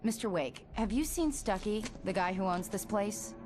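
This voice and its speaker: A woman acting a calm, practical small-town sheriff in a naturalistic video game scene. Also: medium pitch, moderate pace, natural voice. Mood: calm, firm.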